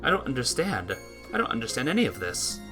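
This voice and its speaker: A man's voice: medium pitch, huge pitch swings, quick pace. Man reading a story aloud over music. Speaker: let's play narrator reading a visual novel aloud. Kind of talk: expressive read-aloud narration.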